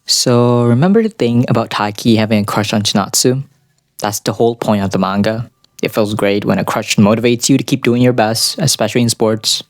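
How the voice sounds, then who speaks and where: A man's voice: fairly low pitch, fairly even intonation, brisk, no room sound, studio quality. A man giving casual, opinionated video essay commentary, informal and sweary, with dramatic asides. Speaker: anime reviewer. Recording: background music under voice. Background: music.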